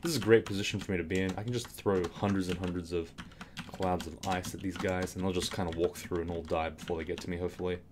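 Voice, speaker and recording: A man with a slightly raspy voice giving casual commentary on a game tutorial, rambling with fillers and restarts, keyboard clicks behind him. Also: sits low, fairly even intonation, fast talker, background noise.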